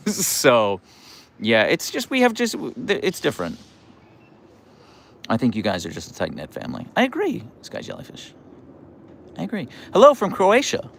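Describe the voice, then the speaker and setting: A middle-aged American man hosting a casual livestream, playful and wry, reading out comments and answering in halting starts. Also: fairly high pitch, huge pitch swings, a bit bright, slight background noise. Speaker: livestream host.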